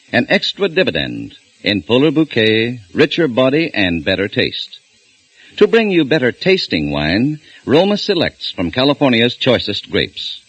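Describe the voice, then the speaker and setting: A man's voice: medium pitch, slightly rough. A man reading a scripted sponsor announcement between acts of a 1940s radio drama. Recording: lo-fi old radio broadcast.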